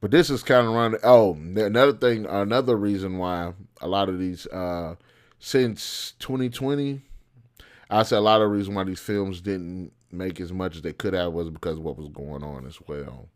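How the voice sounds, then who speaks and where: A man's voice: deep voice, moderate pace. A man hosting a video, talking casually and bluntly with slangy, opinionated commentary on films. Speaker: video host.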